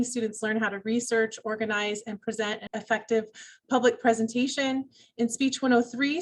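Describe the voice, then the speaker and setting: A young adult woman, a speech professor, giving an upbeat, clear presentation over a video call. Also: a little high, a bit bright.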